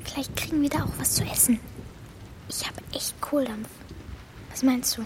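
Woman as very high-voiced, blunt young girl. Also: normal speed, in a whisper, close to an everyday voice. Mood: coaxing. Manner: blunt, slangy, colloquial. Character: Martha, blunt, bold, grieving young girl. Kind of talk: children's radio play acting.

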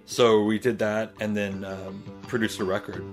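A man's voice: lowish voice, level tone, a little slow. A man giving a spontaneous account in an interview as a music producer.

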